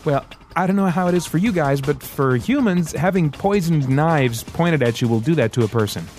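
A man's voice: medium pitch, animated, fairly quick, soft background music, lightly acted. A man voicing a nervous, wisecracking young fugitive hero in an audiobook, stammering and bargaining in a casual way. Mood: nervous quipping. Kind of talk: audiobook character voice.

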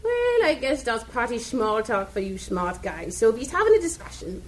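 A brash female monster super soldier acted out by a woman speaks in a high voice with rough, slangy grammar. She is rowdy and cheerfully protective, in the manner of comic radio theatre. There is light noise on the recording. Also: sing-song, normal speed, low-quality recording. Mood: boastful, jolly. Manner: rough ungrammatical slangy speech.

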